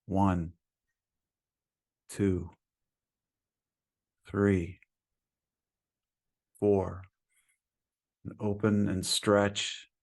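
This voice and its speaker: Slow, low-voiced man guiding meditation. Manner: calm, soothing, unhurried with long pauses. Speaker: meditation teacher. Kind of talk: guided meditation.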